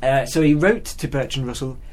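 A man telling stories as a guest in casual conversation, animated and often repeating words as he goes. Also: mid-range voice, a little fast. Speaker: guest mathematician.

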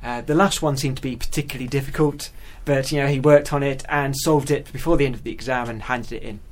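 A man telling stories as a guest in casual conversation, animated and often repeating words as he goes. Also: mid-range voice, quick pace. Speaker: guest mathematician.

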